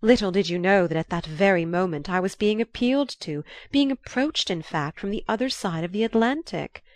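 A woman voicing an effusive, theatrical older marchioness in an audiobook, with a sighing, drawn-out, confiding delivery. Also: medium pitch, animated, no echo, natural voice. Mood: fervently grateful.

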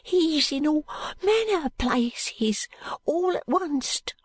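A woman voicing a frightened, ragged London street boy in an audiobook, with a Cockney accent and hushed, halting, uneducated speech. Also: sits high, lively intonation, no room sound, pitched above the performer's own voice. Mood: fearful.

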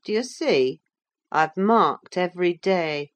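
A woman plays a brooding, jealous teenage boy from a classic storybook. The voice is fairly low and unhurried, blunt and insistent in its sullenness. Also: expressive. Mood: hurt, insistent. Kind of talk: audiobook character acting.

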